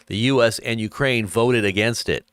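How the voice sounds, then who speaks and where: A man's voice: medium pitch, normal speed, fairly bright tone, no echo. A man hosting a casual live stream, reading news headlines aloud and adding wry asides with chuckles. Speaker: host of an online ministry live stream.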